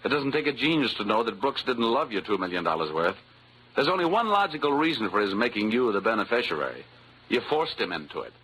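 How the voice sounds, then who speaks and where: An actor playing a cynical, smooth-talking insurance investigator with an American accent in a wry, deadpan 1940s radio drama style. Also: male voice, mid-range voice, fairly quick, a little hiss or hum, low-quality recording. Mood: pressing, cool. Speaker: lead actor and narrator. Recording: vintage 1940s radio recording, music behind.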